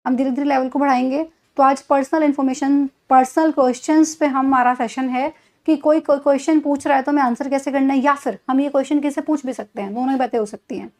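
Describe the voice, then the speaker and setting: Brief: Indian woman, high-pitched, a patient teacher who spells things out for beginners. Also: steady tone, darkish tone. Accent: Indian English. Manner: patient, explains step by step, repeats example sentences slowly. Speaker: English teacher. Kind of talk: spoken English lesson in Hindi.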